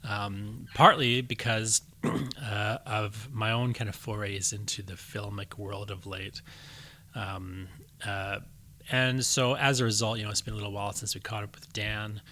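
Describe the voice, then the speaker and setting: Bright-voiced male podcast host. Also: fairly low pitch, flat delivery, measured pace, a little hiss or hum. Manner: explains by posing leading questions. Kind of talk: casual podcast conversation. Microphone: studio microphone.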